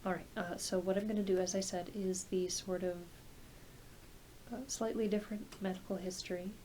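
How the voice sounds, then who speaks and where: A woman playing a gentle, reassuring, slightly scattered doctor in an ASMR medical roleplay, speaking softly and haltingly, often trailing into a near-whisper. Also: medium pitch, flat delivery, lightly acted. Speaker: ASMR performer. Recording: close home recording with clear hiss.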